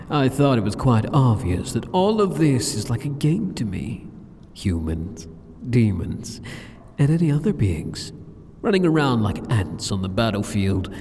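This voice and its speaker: A man playing a sadistic, arrogant demon king in a scripted ASMR roleplay, speaking straight to the listener in a taunting, condescending, theatrical manner with drawn-out phrases. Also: mid-range voice, vocal fry, darkish tone, slight background noise, full character voice. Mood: haughty, bored. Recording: long cavernous hall reverb.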